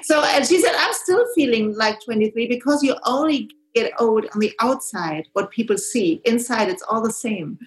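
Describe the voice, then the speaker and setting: Podcast host lady, not a native english speaker, hesitant with lots of ums, slightly high voice. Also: expressive, moderate pace, few pauses, low-quality recording. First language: German. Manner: hesitant, many fillers. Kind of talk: conversational interview.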